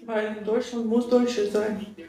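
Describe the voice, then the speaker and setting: A young woman with a Ukrainian accent talks slowly and haltingly into a phone held at arm's length. She chats in a loose livestream rant, often checking in with the listener. Her voice carries a roomy echo from the indoor space. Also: mid-range voice, little pitch movement, dark tone. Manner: halting broken German, often asks "weißt du?". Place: indoor room with a sofa.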